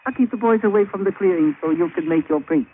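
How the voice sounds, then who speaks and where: A man's voice: high voice, quick pace, lightly acted. An actor playing a sly, scheming island witch doctor in an old radio drama, speaking clipped broken English with sardonic amusement. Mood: sly, amused. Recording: tinny, faintly hissy old radio recording.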